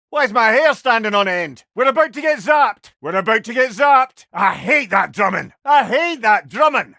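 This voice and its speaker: Game companion: witty older Scottish advisor, loyal and wry, high-pitched for a man, close dry sound. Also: no room sound, heavily acted. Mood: alarmed. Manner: expressive, wry, folksy. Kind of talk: video game voice lines.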